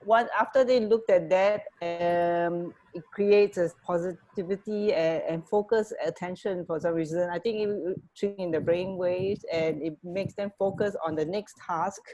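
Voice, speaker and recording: Female radio co-host, lower register, informal chatty delivery, halting while she hunts for the right word. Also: fairly even intonation, warm tone, low-quality recording. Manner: halting, searching for words. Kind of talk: casual chat.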